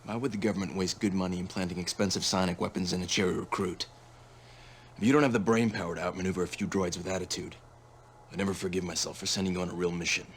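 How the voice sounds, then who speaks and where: An actor playing a tough, sardonic officer and stern older brother in a video game cutscene, gruff and commanding. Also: male voice, deep voice, fairly even intonation, fast talker, slight background noise. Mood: sardonic, drilling.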